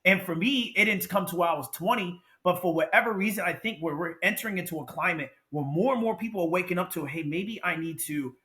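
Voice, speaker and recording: A man's voice: sits high, fast, few pauses, sharp tone. A man in his twenties hosting a casual interview, speaking informally and emphatically with repeated phrases. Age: 20s. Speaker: podcast host.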